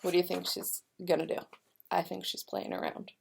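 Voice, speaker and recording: A woman giving school announcements and reading a picture book aloud to young children, speaking directly to them in an expressive, deliberate way. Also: on the low side, gravelly, slight background noise. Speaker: elementary school staff member giving announcements and read-alouds.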